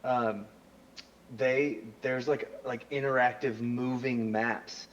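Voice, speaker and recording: A man chatting as a guest, enthusiastic and relaxed, with slangy speech. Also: medium pitch, slow, highs cut off. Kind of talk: casual chat.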